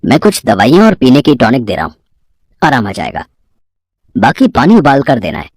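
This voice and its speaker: A man's voice: medium pitch, wildly swooping pitch, moderate pace, a little mellow, dry recording. A man plays a doctor in a dramatized moral tale. He is matter-of-fact and instructive, with a close, dry sound. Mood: instructing. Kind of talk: Hindi moral-story dramatization.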